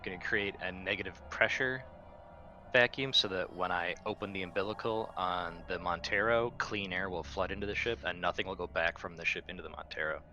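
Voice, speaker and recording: Low voiced guy playing a tabletop rpg, takes charge, casual, punchy tone, quiet music under. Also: a little fast, highs cut off. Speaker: tabletop game player. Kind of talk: casual in-character game chat.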